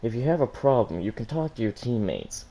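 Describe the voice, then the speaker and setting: An earnest teenage girl from a story, played by a man, concerned and gently questioning. The tone is very mellow and rounded. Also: mid-range voice, big pitch swings, fairly quick.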